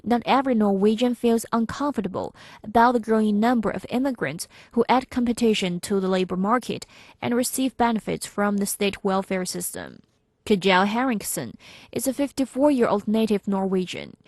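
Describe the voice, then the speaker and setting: A woman reading a scripted news report in a measured, formal manner. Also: mid-range voice, a little mellow, no room sound, studio quality.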